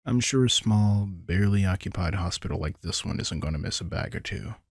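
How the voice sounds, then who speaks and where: A man's voice: sits low, a little fast, breathy edge, dry recording, studio quality. A man playing a centuries-old vampire in an intimate audio drama, speaking casually and dryly, sardonic and teasing yet protective, with some swearing. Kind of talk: intimate roleplay audio drama, conversational acting.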